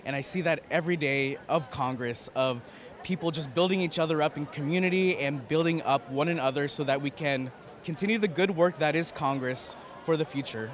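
A man's voice: on the high side, brisk. A man in his thirties, a campus minister, answering interview questions enthusiastically into a handheld mic in a large arena. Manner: enthusiastic, effusive. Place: large indoor arena floor. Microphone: handheld mic held by interviewer.

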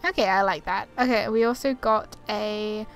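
A woman's voice: mid-range voice, steady tone, moderate pace, smooth, soft background music. A woman gamer chatting casually as she plays and reads game text aloud. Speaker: gamer playing and commenting.

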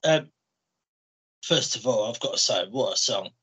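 A man's voice: medium pitch, lively intonation, a little slow, pushed and bright, slightly rough. A young man hosting an interview over a video call, speaking hesitantly with lots of fillers. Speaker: radio host interviewer. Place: small room indoors. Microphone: headset on a video call.

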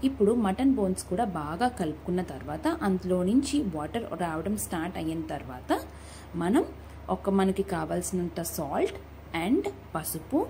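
A woman's voice: fairly low pitch, dark tone, slight background noise. A young woman with a Telugu accent narrating a cooking recipe in a casual, friendly way, talking to her viewers and mixing in English words. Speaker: cooking vlogger.

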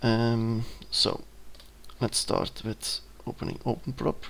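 Hesitant Dutch-accented young man, gravelly. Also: mid-range voice, level tone, slow, fairly bright tone, slight background noise. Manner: hesitant, many ums and uhs, self-correcting. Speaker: student giving a tutorial. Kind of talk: unscripted screen-recorded software tutorial. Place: at a computer, indoors.

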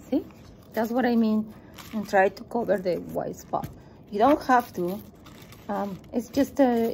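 A woman's voice: fairly high pitch, dark tone, a little hiss or hum. A middle-aged woman whose first language is not English leads a step-by-step children's craft lesson at a table, patient and encouraging, often repeating herself. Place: indoor room with table, at a desk.

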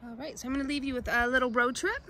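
A woman's voice: high-pitched, very fast, bright, forceful tone, slight background noise. A woman from Canada narrating her vlog in a friendly, chatty way, speaking straight to her viewers. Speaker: vlogger.

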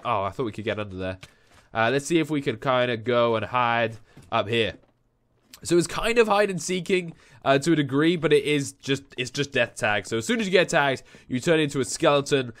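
Excitable young man with a head cold. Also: a little high. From England. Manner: excitable, yelps and shouts when chased. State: nasal from a cold. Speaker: gaming YouTuber. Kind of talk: Minecraft let's play commentary. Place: home room. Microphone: headset.

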